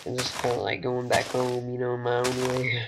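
A teenage boy with a recently deepened voice, rambling and chatty as he gives casual commentary over his own gameplay. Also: completely flat delivery, normal speed, gravelly, noisy background. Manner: rambling, chatty, laughs often. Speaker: gaming channel host.

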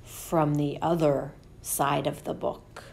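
A woman voicing a small boy knight in a children's picture book read-aloud, with drawn-out, dramatic delivery. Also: sits low, little pitch movement, vocal fry, darkish tone, a little hiss or hum, voice pushed down, exaggerated voice. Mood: smug, wary. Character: small boy knight, smug then alarmed, storybook.

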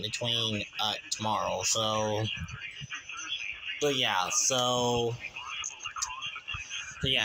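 An American man giving a casual vlog update in a halting way, often repeating words and phrases. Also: fairly low pitch. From United States. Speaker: hobbyist YouTuber. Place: small room at home, indoors. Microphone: handheld phone or camera. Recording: very noisy handheld home recording. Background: loud background noise.

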